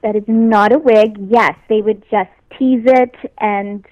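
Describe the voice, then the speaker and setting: A young adult actress with a high voice, chatting easily as an interview guest and laughing often. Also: female voice, steady tone, measured pace, dry recording, lo-fi sound. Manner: easygoing, laughs often, talks about herself in a light way. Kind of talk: casual interview chat.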